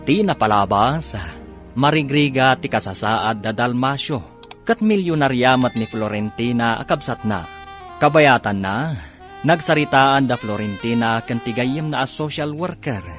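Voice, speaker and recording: A man announcing a radio drama in a formal, measured and dramatic scripted style. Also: mid-range voice. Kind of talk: scripted radio narration and credits. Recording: radio broadcast over music bed.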